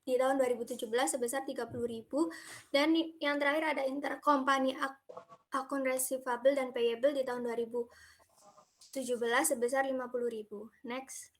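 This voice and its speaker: A woman's voice: sits high, fairly even intonation, brisk. A young woman reads through a slide presentation as a student presenter. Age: young adult.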